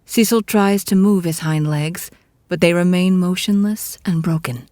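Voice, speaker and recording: A woman narrates an audio drama from a script. Her voice sits a little low, and she tells it like a mock-grand storybook. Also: unhurried, warm tone, no room sound, clean studio sound.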